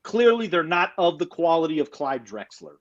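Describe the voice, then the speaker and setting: A man's voice: on the high side, big pitch swings, normal speed, highs cut off. A man co-hosting a podcast in casual sports chat, talking conversationally with lots of "you know"s. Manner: conversational, backs up points with frequent "mm-hmm"s and "you know"s. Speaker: podcast co-host.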